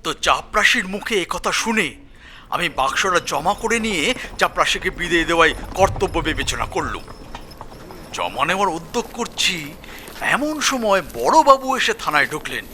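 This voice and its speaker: Excitable constable in a detective audio story, high voice, super animated, really sharp tone. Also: male voice, a little hiss or hum, heavily acted. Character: excitable male police constable recounting a grisly find. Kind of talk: dramatic Bengali audio story acting.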